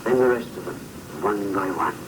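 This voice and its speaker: Low, menacing ex-convict played by a man. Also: natural voice. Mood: brooding menace. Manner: deliberate, darkly joking. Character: vengeful ex-convict forger, menacing and theatrical. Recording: distant, noisy old film soundtrack.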